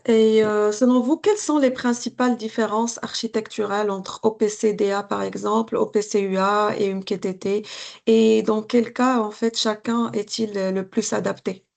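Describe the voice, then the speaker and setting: A woman's voice: medium pitch, fairly even intonation, normal speed, creaky, darkish tone. A woman hosting a webinar, politely introducing a guest and reading prepared questions in a formal way. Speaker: webinar host, business development manager. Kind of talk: moderating a webinar Q&A. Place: video call.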